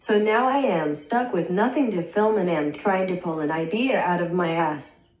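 A synthetic woman's voice reading a young vlogger's lines in a deadpan way, heard in a small bedroom through the camera mic. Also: fairly low pitch, normal speed, echoey room, poor audio. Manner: deadpan, dry jokes. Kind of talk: text-to-speech vlog narration.

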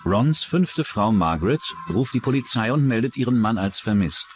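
A man's voice: mid-range voice, sing-song, normal speed, soft background music. A man reading scripted true-crime documentary narration as the narrator.

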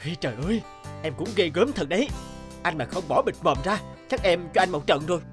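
A man playing a calm, reasoning father in a cartoon, explaining things earnestly, patiently and firmly. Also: high voice, a little fast. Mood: relieved explaining. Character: calm, reasoning father, patient but firm with his wife. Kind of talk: cartoon voice acting. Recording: music behind the voice.

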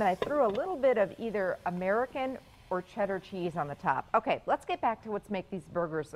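A woman's voice: medium pitch, a little hiss or hum, low-quality recording. A woman, hosting a morning TV cooking segment in a studio kitchen and chatting cheerfully as she cooks. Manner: chatty, upbeat, talks while cooking.

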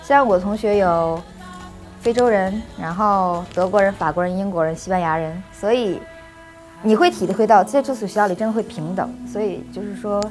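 A woman, an international student, giving an unscripted, thoughtful interview answer with pauses and fillers. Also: medium pitch, a little mellow, faint music behind.

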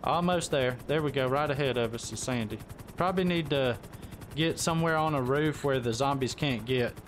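A man talks casually through live gameplay as a streamer. His pitch is average for a man, and faint game noise with gunfire sits behind his voice. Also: normal speed. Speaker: gaming livestreamer.

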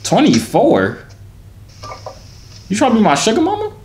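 A man's voice: sits high, huge pitch swings, moderate pace, noisy background. Teasing young man on a phone video chat.

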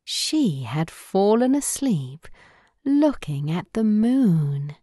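A woman narrating a children's bedtime story in a gentle, measured, scripted way. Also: mid-range voice, big pitch swings, husky, dry recording, studio quality.

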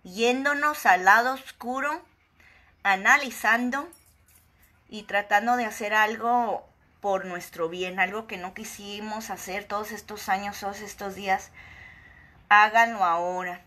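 Sarcastic northern Mexican woman ranting. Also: a little slow. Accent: northern Mexican Spanish. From Ciudad Juárez, Mexico. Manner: sarcastic, joking, swings between loud outbursts and low asides. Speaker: vlogger. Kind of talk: casual rant to camera. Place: indoor room with wooden shelves. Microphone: phone camera at arm's length.

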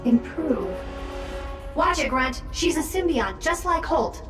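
A woman voicing a wary, quick-witted robot drone in a video game. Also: sits high, animated. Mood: wary, sharp. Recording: roomy echo, distant from mic. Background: game music.

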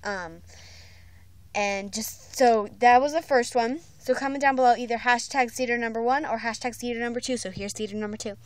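A woman's voice: a little high, brisk, dry recording. A woman chatting casually in a goofy, self-mocking way as she records an audition video on her phone. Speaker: vlogger auditioning for a voice part. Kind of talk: casual doll-video chat. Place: indoors at home. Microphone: handheld phone, close.

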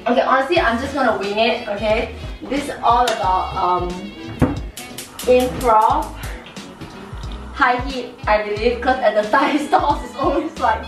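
A woman's voice: on the high side, unhurried, far from the mic. Giggly young woman in a roomy kitchen. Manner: giggly, self-mocking, playful. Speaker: home cook vlogger. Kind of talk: casual cooking vlog chat. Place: small home kitchen, indoors. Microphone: camera across the room. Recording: roomy kitchen sound from off-mic with music.

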